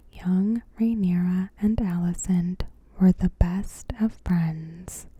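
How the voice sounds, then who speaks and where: A woman ASMR host whispering a scripted recap in a breathy, gentle and deliberate way. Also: on the low side, no echo.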